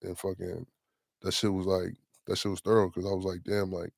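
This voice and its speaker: A young man in his twenties, a rapper with a deep voice, talking casually and loosely in an interview. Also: flat delivery, gravelly, darkish tone, no room sound, studio quality. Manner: laid-back, slangy, drawn-out affirmations. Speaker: rapper, guest.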